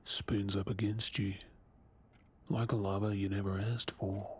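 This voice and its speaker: A man with an Australian accent playing a remorseful lab assistant in an audio drama, speaking in a deliberate way. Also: on the low side, flat delivery, a little mellow, slightly rough. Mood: haunted, somber. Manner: somber, deliberate, halting. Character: haunted, remorseful male former lab assistant. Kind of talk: dramatic audio roleplay acting.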